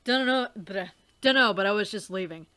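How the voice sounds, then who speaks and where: Woman playing a cool deadpan teen guy, low-key and unbothered, still fairly bright. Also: normal speed, clear, resonant tone, close to an everyday voice. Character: laid-back deadpan teenage boy.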